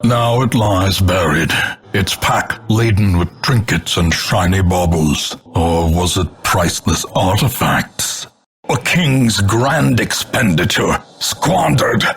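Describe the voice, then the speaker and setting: Video game announcer: grim, scornful older man of doom, fairly deep, very measured and theatrical. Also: a bit bright, heavily acted. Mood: mournful, grim. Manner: grandiose, ornate, measured dramatic delivery. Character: grim ominous older male narrator of doom, contemptuous. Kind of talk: theatrical scripted game announcements, gothic narration.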